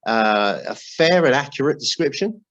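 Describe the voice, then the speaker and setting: A man's voice: mid-range voice, lively intonation, moderate pace, fairly bright tone, lo-fi sound. A man hosting a casual football discussion, talking conversationally and putting questions to his co-hosts. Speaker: podcast host.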